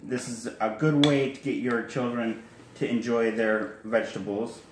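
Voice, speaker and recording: A man's voice: medium pitch, fairly even intonation, moderate pace, noisy background. A young man casually showing a recipe at home, chatting and correcting himself as he goes, filmed on a phone in his kitchen. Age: young adult. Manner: rambling, self-correcting, chatty asides. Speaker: cooking vlogger. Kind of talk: casual cooking demo. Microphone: handheld phone camera. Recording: echoey kitchen with faint clatter.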